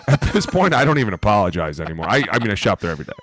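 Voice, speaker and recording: A man's voice: lowish voice, sing-song, racing, fairly bright tone, a little hiss or hum. A man chatting casually on a sports podcast in slangy bro talk, close on the microphone. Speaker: former NFL lineman, podcast co-host. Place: indoor room, remote video podcast. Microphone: close podcast microphone with headset.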